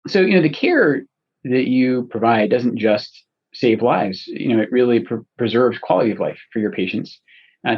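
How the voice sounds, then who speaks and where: A man from Scotland hosting a podcast interview, talking in a friendly, halting way with many restarts. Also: mid-range voice, breathy edge, dull audio. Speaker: podcast host. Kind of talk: conversational interview.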